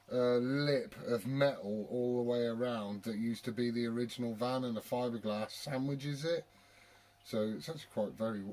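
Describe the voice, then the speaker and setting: A man's voice: mid-range voice, a little mellow. A British man chatting casually as a CB radio operator, blokey and sweary in his talk. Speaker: CB radio operator and video maker.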